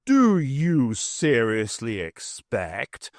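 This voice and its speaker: A reader voicing a cold, menacing alien leader who speaks in a slow, condescending way. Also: medium pitch, wildly swooping pitch, no room sound, clean studio sound, exaggerated voice. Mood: coldly superior.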